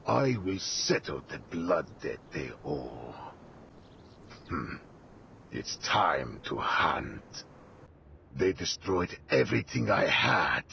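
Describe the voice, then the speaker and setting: A man voicing a vengeful, wrathful militant commander in a video game, gravelly and commanding, delivering menacing combat lines. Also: extremely low pitch, pushed and bright, slight background noise, exaggerated voice. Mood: cold menace. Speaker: playable game character.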